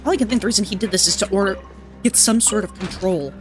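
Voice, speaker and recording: A woman's voice: mid-range voice, fast. A woman giving casual gameplay commentary as a streamer, rambling, reactive and given to swearing. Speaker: game streamer. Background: game music.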